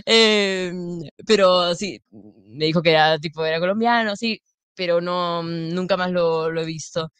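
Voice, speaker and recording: A woman's voice: lowish voice, a little slow, fairly bright tone, clear, resonant tone, no echo. A woman from Italy and Peru, a guest comedian in casual chat, rambling with many fillers and frequent laughter, in a radio studio.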